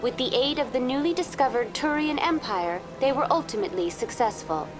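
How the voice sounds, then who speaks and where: A woman playing a polite, unflappable virtual tour guide program in a video game, speaking in a formal, measured, impersonal way. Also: high-pitched, lively intonation, music in the background. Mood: politely informative. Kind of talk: scripted informational narration in a video game.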